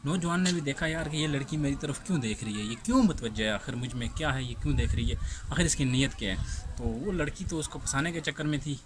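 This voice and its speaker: A Pakistani man hosts in an informal storytelling style at a middling pitch. He speaks haltingly, circles back over his phrases and puts questions that he answers himself. Clear noise runs through the amateur recording. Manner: halting, repetitive, asks rhetorical questions. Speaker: host.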